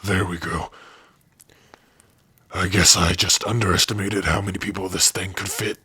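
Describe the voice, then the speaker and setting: A man voicing a big, gruff but tender orc warrior in an ASMR roleplay, shy and devoted, speaking haltingly and intimately close to the mic. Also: steady tone, no echo, heavily acted. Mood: bashful, tender. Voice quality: husky, breathy low voice. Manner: slow, halting, softly intimate. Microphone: close dry microphone.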